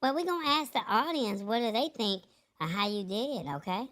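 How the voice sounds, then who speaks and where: Lively, high-pitched woman hosting a show. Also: normal speed. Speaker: show host. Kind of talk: casual live-show chat.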